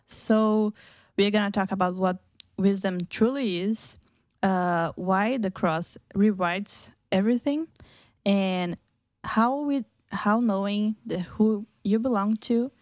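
A woman's voice: mid-range voice, takes it slowly, darkish tone, no room sound, clean studio sound. A woman from Brazil with a Brazilian Portuguese accent, hosting a casual faith radio chat, often laughing and restarting her sentences. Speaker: radio show host.

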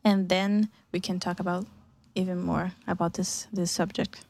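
A woman's voice: fairly low pitch, flat delivery, normal speed, creaky, a little mellow, no room sound. A woman from Brazil with a Brazilian Portuguese accent, hosting a casual faith radio chat, often laughing and restarting her sentences. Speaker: radio show host.

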